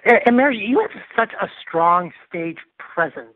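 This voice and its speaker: Male phone host, slightly higher voice, dry thin line. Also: lively intonation, normal speed, no room sound, narrow, tinny audio. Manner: rambling, restarting questions. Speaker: interviewer host. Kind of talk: phone interview.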